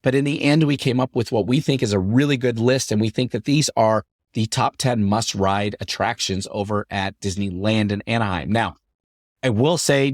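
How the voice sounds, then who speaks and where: A middle-aged man hosting his podcast in an upbeat, chatty way, his voice deepened by a lingering cough after an illness. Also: no room sound, studio quality. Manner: upbeat, chatty, repetitive phrasing. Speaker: podcast host. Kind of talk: solo podcast talk.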